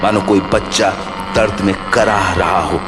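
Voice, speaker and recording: A man's voice: sits low, fairly even intonation, moderate pace. A man giving dramatic scripted narration of a horror story. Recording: long reverb under prominent music.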